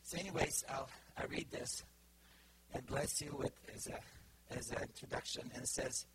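Bulgarian-accented preacher, halting and hesitant. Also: male voice, mid-range voice, background noise. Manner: halting, many fillers and restarts. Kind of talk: informal sermon to a congregation.

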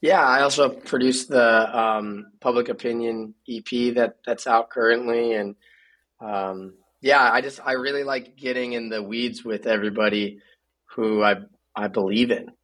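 A man's voice: medium pitch, fairly even intonation, measured pace, fairly bright tone, rough recording. A man, a musician guest, talking casually in an interview, often repeating words as he gathers his thoughts.

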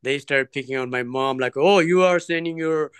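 A man, his voice smooth and resonant. He tells his story earnestly, pausing and circling back as he goes. The sound is close and dry, with no room echo. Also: on the high side, fairly bright tone. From Nepal. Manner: earnest, digressive, hesitant phrasing. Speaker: trekking guest. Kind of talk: interview storytelling.

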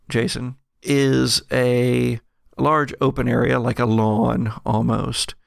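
A man narrates a tabletop role-playing game as its game master. His voice is heavily creaky and he takes his time, improvising scene descriptions in halting, deliberate phrases. The sound is close and dry. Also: medium pitch, no room sound.

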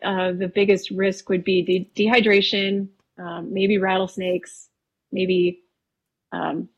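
A woman from Wisconsin in her thirties, a painter talking casually as a podcast guest, relaxed and chatty with frequent ums. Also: mid-range voice, takes it slowly, breathy edge, lo-fi sound. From Wisconsin, USA. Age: 30s. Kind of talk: casual interview conversation.

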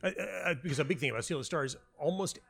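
Man chatting in an animated, anecdotal way. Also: on the high side, fast. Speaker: interview guest, playwright and audio dramatist. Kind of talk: casual anecdotal interview talk.

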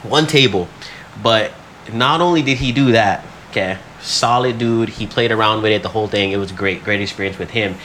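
A man's voice: on the low side, normal speed, fairly bright tone, slight background noise, poor audio. A man telling stories in casual podcast banter, slangy and profane, often acting out what people said. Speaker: podcast co-host.

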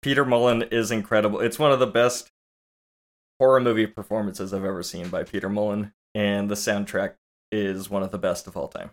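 Male podcast co-host, low voice, tired, rambling and playful. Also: steady tone, brisk. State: low on sleep. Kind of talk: casual movie podcast chat.

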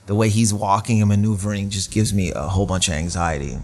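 A man's voice: lowish voice, steady tone, quick pace, slight background noise. A man in his late thirties from Canada, a stand-up comedian telling stories in a casual interview, rambling and self-deprecating with slangy asides. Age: 30s.

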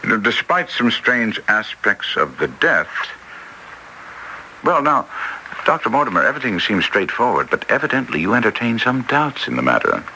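An actor playing a cool, skeptical detective with a dry, clipped, deliberate manner in old radio drama style. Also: male voice, fairly low pitch, big pitch swings, pushed and bright, full character voice. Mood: musing, dry. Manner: clipped, deliberate, dryly questioning. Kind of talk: 1940s radio drama acting. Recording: noisy old radio broadcast recording.

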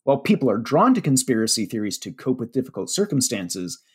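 A man's voice: mid-range voice, very animated, fast, a little mellow, slightly rough. A man with a Pennsylvania Dutch accent, a media relations director chatting casually about research with fillers and light self-deprecating jokes. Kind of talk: casual podcast chat.

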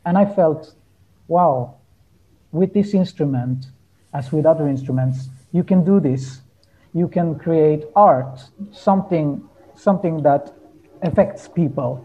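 High-pitched, mellow-voiced man chatting. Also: a little slow, dull audio. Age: 30s. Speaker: guest musician, hurdy-gurdy player. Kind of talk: casual interview storytelling.

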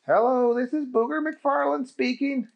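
Storyteller putting on an eager friendly guy, higher voice, slow and a bit gravelly. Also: fairly even intonation, lo-fi sound. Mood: earnest. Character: eager good-hearted man, friendly.

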